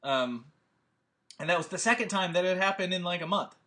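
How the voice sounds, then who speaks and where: A man's voice: sits high, a little fast, pushed and bright. A man, a producer and director, hosting a table read in a casual, rambling chat full of fillers and restarts. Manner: hesitant, many fillers and restarts.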